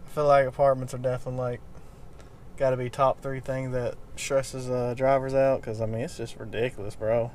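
A man's voice: mid-range voice, flat delivery, fairly quick, a little mellow. A young man, talking casually to the camera from his car, rambling and chuckling as he goes. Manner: laid-back, rambling, chuckles often, says "bro". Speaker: delivery driver vlogger. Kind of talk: casual vlog talk to camera. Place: parked car, inside. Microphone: dashboard camera. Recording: car and street noise behind.

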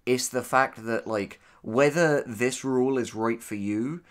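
A man's voice: medium pitch, a little slow. A man co-hosting a casual critical discussion, thinking aloud and arguing his points in a conversational way. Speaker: podcast co-host and audio drama writer.

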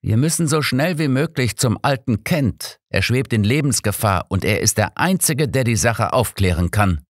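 A man voicing a shrewd, unflappable old detective in an audiobook, measured, deliberate and courteous. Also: mid-range voice, lively intonation, no room sound, clean studio sound, voice pushed up, natural voice. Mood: urgent.

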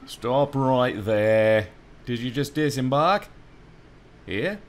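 A man's voice: mid-range voice, animated, slow, slight background noise. Guy doing a lazy knight guard angling for bribes, officious, game npc.

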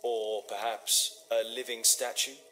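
An actor playing a composed Victorian master detective in a video game, formal, clipped and coolly inquisitive. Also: male voice, medium pitch, steady tone, unhurried, background noise, close to an everyday voice. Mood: probing. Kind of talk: video game dramatic acting.

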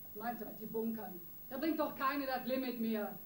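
A woman's voice: a little high, normal speed, lightly acted. An actress playing a brash, nagging woman in a criminal gang in a radio crime drama, blunt and slangy. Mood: scolding. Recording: roomy, distant, noisy radio drama.

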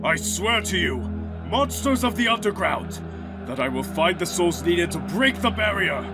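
A man playing a grief-stricken, gentle goat-monster king who can turn resolute, in a dramatic comic dub. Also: sits high, sing-song, moderate pace, loud music behind the voice. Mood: defiant, resolute.

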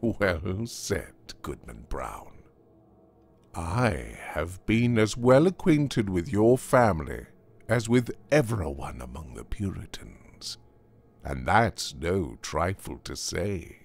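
A man voicing a worldly, sly devil of about fifty in a storybook tale, speaking formal archaic English in a smoothly persuasive way. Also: low voice, very animated, heavily acted. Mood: smug, familiar. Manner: unhurried, archaic formal speech. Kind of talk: dramatised audiobook reading of a classic tale.

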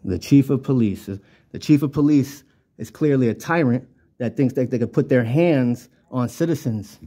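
Insistent, challenging man with a mellow tone. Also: mid-range voice, moderate pace. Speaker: citizen journalist filming an audit. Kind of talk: argumentative confrontation with officials. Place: indoor town office. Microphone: handheld camera held by the speaker.

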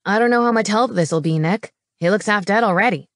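A woman's voice: mid-range voice, fairly quick, studio quality, natural voice. Lady doing a nerdy scrawny scientist guy, snippy and frank, audiobook, no echo at all. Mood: doubtful, snippy.

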